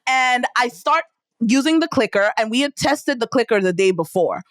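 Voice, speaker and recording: A woman with a Nigerian accent, a podcast guest telling stories in a lively, casual way. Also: moderate pace, no room sound. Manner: animated, acts out quotes with big pitch jumps. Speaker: podcast guest, entrepreneur and speaker. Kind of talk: storytelling in casual interview.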